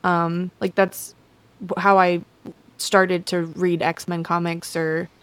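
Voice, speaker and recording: A young woman, a podcast guest, a little hoarse, chatting casually with many fillers. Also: lowish voice, flat delivery, slow.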